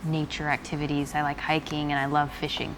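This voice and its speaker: A woman's voice: low-pitched, brisk, noisy background, natural voice. An actress playing an easygoing young American woman from the country, chatting on a date in a game. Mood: relaxed. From United States. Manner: relaxed, brief, amused replies.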